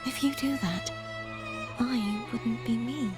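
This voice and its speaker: A woman voicing a young noble game heroine, resolute and sorrowful, with formal, deliberate phrasing. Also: on the high side. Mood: pleading, firm. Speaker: game character voice. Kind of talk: earnest dramatic game dialogue. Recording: loud orchestral violin music behind.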